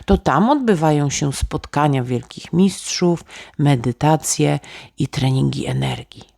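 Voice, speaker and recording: Woman, bedtime story narration, very low pitch, gravelly creak, storyteller. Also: expressive, measured pace, mellow, dry recording, clean studio sound.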